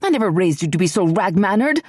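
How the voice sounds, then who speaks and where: A woman's voice: mid-range voice, big pitch swings, fast talker, a little mellow, slightly rough, no echo, studio quality, voice pushed up. A woman voicing an indignant middle-aged mother who scolds her grown daughter. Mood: outraged.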